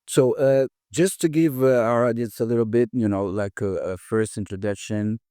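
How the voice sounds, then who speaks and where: Man, podcast host, hesitant with fillers, warm dark tone, dry close sound. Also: mid-range voice, fairly even intonation, normal speed, clear, resonant tone, dry recording. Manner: hesitant, many fillers and restarts. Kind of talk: casual interview.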